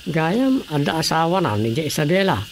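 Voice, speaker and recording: An actress playing an inquisitive, gossiping village relative in a Filipino radio drama comedy, with an Ilocano accent. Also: female voice, low voice, big pitch swings, moderate pace, slight background noise. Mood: curious, gossipy.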